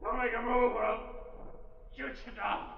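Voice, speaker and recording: An actor playing a deranged, menacing fugitive in an old radio melodrama. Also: male voice, very high-pitched, steady tone, normal speed, gravelly, dull audio, heavily acted. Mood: threatening. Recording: echoey, distant, noisy vintage radio recording.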